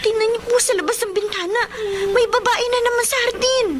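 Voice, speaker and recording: Frightened young daughter, Filipino, very high voice, anxious questioning, radio horror drama, noisy ambience. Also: female voice, fairly even intonation, brisk.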